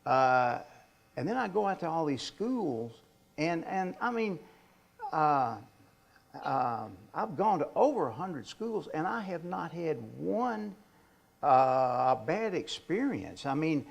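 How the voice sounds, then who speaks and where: Older man with a stutter, slow and creaky. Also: a little high, sing-song, highs cut off. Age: 60s or older. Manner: halting, with repeated words and blocks. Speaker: author giving a presentation. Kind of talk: informal public talk.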